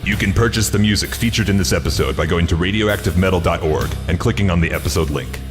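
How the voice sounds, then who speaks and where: A man's voice: deep voice, fast talker. A man reading a scripted promo as a podcast announcer. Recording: long reverb, music behind.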